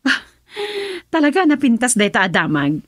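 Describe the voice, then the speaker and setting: An actress playing a self-possessed governor and lady of the house, theatrical and expressive, in an Ilocano radio drama. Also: on the high side, normal speed, no room sound, studio quality. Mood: inquisitive.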